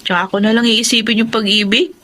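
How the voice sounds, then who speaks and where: A woman's voice: a little high, steady tone, fast, sharp tone. A woman playing a kind, dutiful young student in a Filipino radio drama. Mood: friendly, modest. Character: Cindy, kind, dutiful young aspiring teacher.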